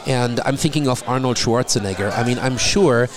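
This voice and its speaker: A middle-aged man from Germany with a German accent, talking in a relaxed conversation and often repeating a word as he starts a thought. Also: medium pitch, moderate pace. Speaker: guest. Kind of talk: casual conversation.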